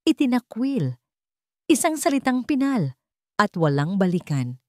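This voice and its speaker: A woman's voice: a little high, big pitch swings, a little slow, no room sound, studio quality. A woman reading a scripted dramatic story as its narrator.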